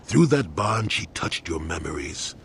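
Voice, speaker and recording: An actor playing a grave, wise Jedi mentor in a video game, talking in a solemn, measured way. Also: male voice, low-pitched, big pitch swings, creaky, a little hiss or hum, full character voice. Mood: grave, sombre. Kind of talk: dramatic video game acting.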